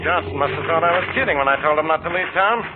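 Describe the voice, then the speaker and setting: Exasperated police inspector, man acting it, raised pitch, hissy old broadcast sound. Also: racing, natural voice. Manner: blustery, exasperated. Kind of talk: 1940s radio acting. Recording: tinny old radio broadcast with noise.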